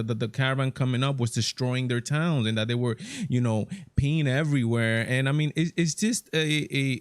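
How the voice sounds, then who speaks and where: Halting male host, dry close sound. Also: mid-range voice, no room sound. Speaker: show host. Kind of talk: casual panel discussion.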